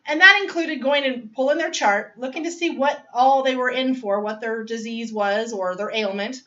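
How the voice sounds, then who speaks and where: A woman's voice: on the high side, bright, forceful tone, recorded from a distance, dull audio. Chatty middle-aged woman telling her story. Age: 40s. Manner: chatty, laughs often, restarts phrases. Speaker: student giving a speech. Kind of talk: personal narrative speech. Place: home dining area.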